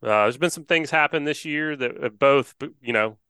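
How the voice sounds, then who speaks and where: Bright-voiced man hosting a chat. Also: medium pitch, moderate pace, clean tone, no room sound, studio quality. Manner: rambling, energetic, lots of fillers. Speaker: podcast host. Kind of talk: casual chat.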